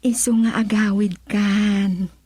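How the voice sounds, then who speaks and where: An actress playing a soft, eerily vague young woman in an Ilocano comedy radio drama, with a Filipino accent and an unhurried, hesitant delivery. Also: mid-range voice, fairly even intonation, slightly rough, no echo. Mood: gently coaxing.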